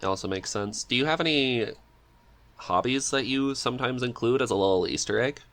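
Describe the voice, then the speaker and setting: A man's voice: medium pitch, a little fast, fairly bright tone. A man hosting a casual interview chat, speaking in a hesitant, agreeable and informal way as he goes. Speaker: podcast co-host reading questions.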